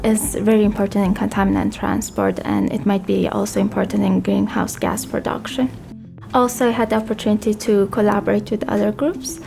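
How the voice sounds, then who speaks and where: A woman with a heavily creaky, mid-pitched voice explains her research in an interview. Music is clearly audible behind her. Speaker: engineering researcher. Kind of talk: explanatory interview talk about own research. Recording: music bed under the voice. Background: background music.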